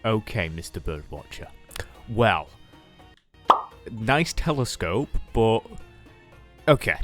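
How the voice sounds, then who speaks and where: Male player as jaded final-year duel student. Lowish pitch, muttered asides, sardonic, loose improvised game roleplay over faint music. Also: sing-song, slow. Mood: casual, awkward. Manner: hesitant, rambling, muttering asides. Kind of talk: casual improvised Minecraft anime roleplay.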